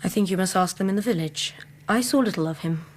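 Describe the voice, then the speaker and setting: A woman's voice: medium pitch, quick pace, slight background noise, lightly acted. A woman plays a young English lady in a radio drama who runs a family estate. She speaks in a middle register, earnest and polite, devoted yet guarded and self-possessed. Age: young adult.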